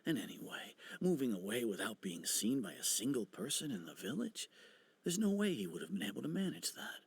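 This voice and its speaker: A man's voice: medium pitch, very animated, a little fast, slightly rough, no echo, heavily acted. An actor playing an earnest, anxious male villager in a video game, fond and worried. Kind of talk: video game character acting.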